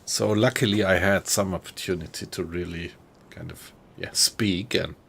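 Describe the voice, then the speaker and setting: A middle-aged man from Germany with a German accent, chatting in a friendly, halting way with viewers on a live stream. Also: sits low, fairly even intonation, gravelly, fairly bright tone, a little hiss or hum. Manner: thoughtful, friendly, halting with fillers.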